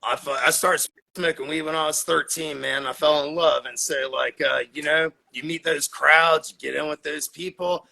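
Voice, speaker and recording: Man in his forties, from Florida, husky gravelly voice, bright tone, loose casual storytelling. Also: on the high side, fairly even intonation, normal speed, few pauses, poor audio. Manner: laid-back storytelling, laughs often, swears, says "man". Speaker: podcast guest, cannabis grower. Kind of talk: casual podcast chat.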